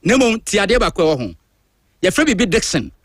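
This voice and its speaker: A man from Ghana with a Ghanaian accent, hosting a radio drive show in a studio and talking in an emphatic, animated, opinionated way while switching between languages. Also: high voice, fast, no room sound. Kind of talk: opinionated talk-radio monologue.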